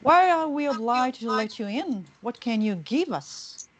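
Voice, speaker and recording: A woman's voice: fairly high pitch, expressive, a little slow, background noise. A woman playing a stern, aloof commune member in a screenplay read, speaking formally and withholding. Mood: cool, forbidding. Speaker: actor in a screenplay table read.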